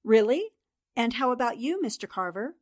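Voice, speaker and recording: Actress doing a sharp lady reporter sleuth, high voice, brisk questions, old radio drama. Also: big pitch swings. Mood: probing. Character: sharp, commanding woman newspaper columnist turned sleuth. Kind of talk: 1940s radio drama acting.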